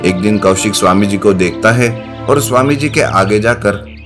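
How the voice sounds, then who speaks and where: Male storyteller, lowish pitch, scripted story narration, voice-over, clear background music. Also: normal speed.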